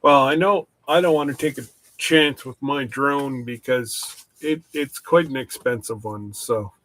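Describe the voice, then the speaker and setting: A man hosting a casual rambling chat, talking slowly with many pauses and restarts, his voice stuffed up from hay fever. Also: mid-range voice, fairly bright tone. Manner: slow, halting, with many restarts. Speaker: channel host. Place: workshop.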